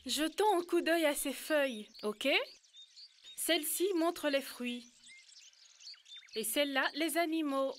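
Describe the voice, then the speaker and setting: A woman's voice: high-pitched, slow pace, pauses often. A woman voicing a helpful, slightly bossy young girl in a cartoon dub. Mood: earnest.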